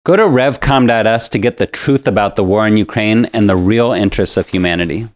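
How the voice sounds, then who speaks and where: A man's voice: fairly low pitch, brisk, a little hiss or hum. A man co-hosting a political show, delivering scripted commentary in an emphatic, polemical manner.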